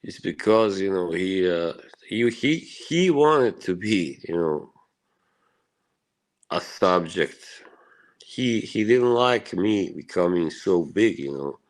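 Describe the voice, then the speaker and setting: Slow, very deep-voiced man from Bosnia. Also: animated. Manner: rambling and profane, full of "you know" and short laughs. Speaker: live streamer talking to his chat. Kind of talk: casual rambling chat.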